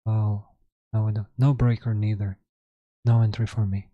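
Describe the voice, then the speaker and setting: A man with a sore, hoarse throat hosting a live trading stream, thinking aloud in halting, self-correcting commentary. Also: fairly low pitch, level tone, no echo, dull audio. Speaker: trading livestream host.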